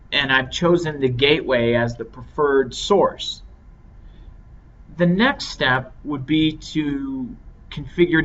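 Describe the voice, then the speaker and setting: A man giving a measured, step-by-step software tutorial as an instructor. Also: medium pitch. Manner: measured, explanatory step-by-step delivery. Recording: dull lo-fi microphone with clear noise.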